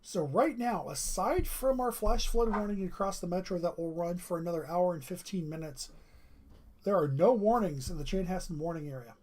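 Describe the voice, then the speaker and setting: A weather livestreamer giving steady running commentary over radar maps, with a strained voice and frequent throat clearing. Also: medium pitch, normal speed.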